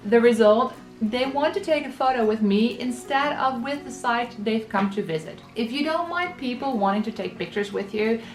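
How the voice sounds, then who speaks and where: A woman in her thirties from the Netherlands talks through travel tips, clear and practical in a scripted vlog delivery. Her voice sits a little high, with soft music underneath. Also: expressive, moderate pace, hardly any pauses, low-quality recording. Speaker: travel vlogger.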